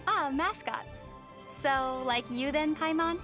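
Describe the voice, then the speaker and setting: Young female otherworldly traveler for an anime game: high, gentle, musing, faint music behind. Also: takes it slowly. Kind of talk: scripted anime game dialogue.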